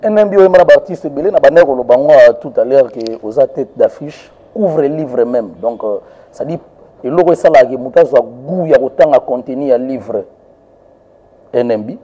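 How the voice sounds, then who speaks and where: A man's voice: mid-range voice, expressive, moderate pace, muffled recording. A male journalist asking interview questions, hesitant, with frequent fillers.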